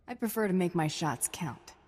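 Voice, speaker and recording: A woman's voice: on the low side, expressive, moderate pace, darkish tone, big-room sound. A woman playing a confident, wry bounty hunter with cool, glib delivery in a video game. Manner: cool, glib one-liners.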